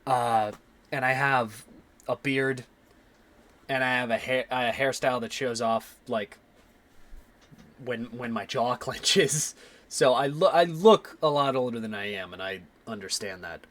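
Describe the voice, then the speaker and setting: A man in his twenties with a slightly raspy voice, rambling casually and swearing as he streams his 3D modeling work and answers chat. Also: medium pitch, a little slow, fairly bright tone. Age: 20s.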